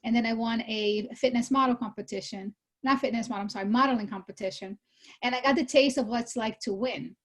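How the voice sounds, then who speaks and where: A woman in her thirties, born in Brazil, talks through her story as an interview guest. Her voice sits fairly high, and she speaks in an animated, conversational way. She often stops to correct herself mid-sentence. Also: fairly quick. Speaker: guest, real estate CEO. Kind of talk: casual interview chat.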